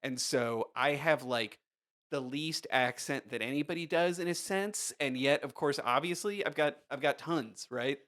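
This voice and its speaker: A man's voice: mid-range voice, moderate pace, a bit bright. Male interviewer, Midwestern American accent, mid-range voice; chatty, friendly, loose. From Indiana, US. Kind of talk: casual interview conversation.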